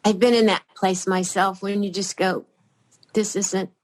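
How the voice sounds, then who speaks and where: An older woman chatting as a podcast guest, rambling and halting, with many fillers and restarts. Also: mid-range voice, flat delivery, a little mellow. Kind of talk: casual chat.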